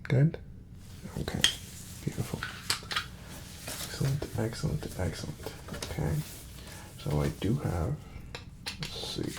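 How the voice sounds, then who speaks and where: Soft-spoken man with heavy vocal fry. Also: fairly low pitch, sing-song, very noisy. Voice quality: soft-spoken, breathy, often dropping to a whisper. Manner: gentle, reassuring, unhurried murmuring to the listener. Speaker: ASMR performer playing a doctor. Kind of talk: ASMR medical exam roleplay.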